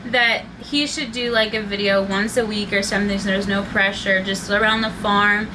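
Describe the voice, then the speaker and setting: A woman's voice: mid-range voice, fairly even intonation, normal speed, noisy background. A woman hosting her family channel, chatty and giggly as she tells stories and quizzes her father. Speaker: channel host. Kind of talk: casual chat.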